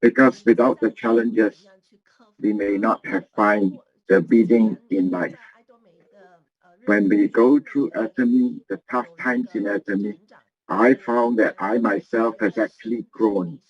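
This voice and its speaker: A man giving a motivational business talk, earnest and halting, often repeating and restarting his phrases. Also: fairly low pitch, steady tone. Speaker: business presenter. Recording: muffled lo-fi recording.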